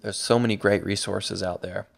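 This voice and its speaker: A man's voice: on the low side, steady tone, normal speed, vocal fry. A man narrating an online course over slides, upbeat and a little rambling, with frequent restarts. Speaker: course instructor and website creator.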